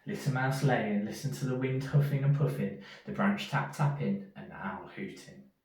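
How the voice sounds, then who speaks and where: A man reading a children's story aloud in an expressive storyteller's manner. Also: mid-range voice, moderate pace. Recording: echoey room, distant from mic.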